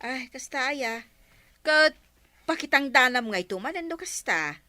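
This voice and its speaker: Here is a woman acting as a chatty village woman from a comedy radio drama. She has a bright, slightly high voice with an Ilocano accent. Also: expressive, measured pace, no echo, heavily acted.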